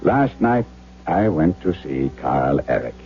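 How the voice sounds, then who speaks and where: An actor playing an earnest, principled young German doctor in a radio drama, speaking in a measured, formal way. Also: male voice, rumbling low, very animated, full character voice. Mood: reflective, grave. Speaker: narrator and lead character. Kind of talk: 1970s radio drama acting, with diary narration. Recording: muffled old radio broadcast with hum.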